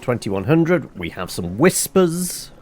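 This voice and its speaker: A man's voice: mid-range voice, sing-song, slow pace, darkish tone, a little hiss or hum. A man giving casual let's-play commentary in a wry, informal way with muttered asides. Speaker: gameplay commentator. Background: video game music.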